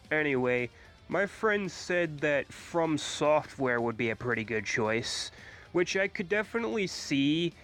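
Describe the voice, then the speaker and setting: A man with a stuffy nose from a cold, giving his blunt opinions in a casual gaming vlog, swearing freely and filling pauses with ums and uhs. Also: mid-range voice, bright, forceful tone. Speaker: gaming YouTuber.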